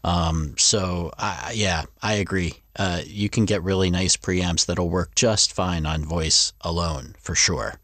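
Male panel expert, very deep voice, casual technical talk, close and dry. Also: steady tone, takes it slowly, hardly any pauses, a bit bright, no room sound.

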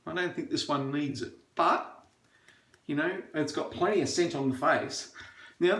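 A man's voice: a little high, fairly bright tone. A middle-aged man chatting casually while he shaves, opinionated and rambling with plenty of fillers. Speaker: shaving reviewer. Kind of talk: casual chat while shaving. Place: small bathroom indoors. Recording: echoey bathroom.

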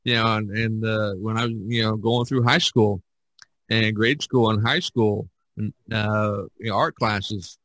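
A man's voice: fairly low pitch, steady tone, measured pace, a bit bright, no room sound. An older man hosting a podcast in a casual, rambling, anecdotal way, often repeating his phrases. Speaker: podcast host.

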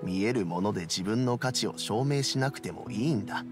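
A man's voice: on the low side, animated, a little fast, music in the background, lightly acted. An actor playing a patient, reflective old man in a game, with old-fashioned, admonishing phrasing. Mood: gently advising. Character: kindly old male elder, patient, reflective, gruff when sulking. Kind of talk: Japanese game voice acting.

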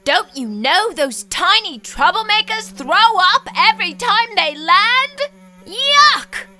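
A woman's voice: very high-pitched, very animated, slow pace, pushed and bright, full character voice. A woman voicing a fussy, neat-freak young male frog who exclaims and frets dramatically in a storybook reading. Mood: frantic. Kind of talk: storybook read-aloud acting.

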